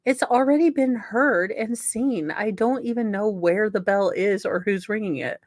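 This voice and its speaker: A woman's voice: mid-range voice, measured pace, darkish tone, dry recording. Sarcastic woman reading aloud, dry close sound. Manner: sarcastic, theatrical, swears casually. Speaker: podcast host. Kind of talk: comedic dramatic reading with mocking asides.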